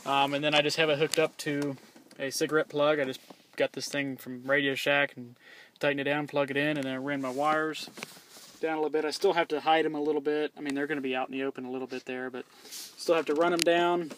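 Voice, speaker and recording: A man's voice: on the high side, little pitch movement, fast, fairly bright tone, slight background noise. A man rambling casually through a vlog from inside his parked SUV, swearing offhand and stumbling over his words. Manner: rambling, loose, swears casually, many ums and restarts. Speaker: vlogger reviewing his CB radio.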